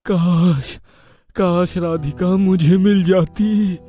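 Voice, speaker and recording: A man voicing a jealous, lustful younger brother in an animated horror story. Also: high voice, very slow, soft background music, voice pushed up, full character voice. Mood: grumbling. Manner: whiny, agitated.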